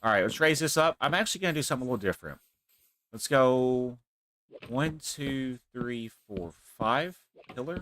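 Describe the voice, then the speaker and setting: A man, hosting his own gaming channel, rambling and thinking out loud as he plays, his nose stuffy from a cold. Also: mid-range voice, animated, normal speed, a little hiss or hum. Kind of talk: casual gameplay commentary.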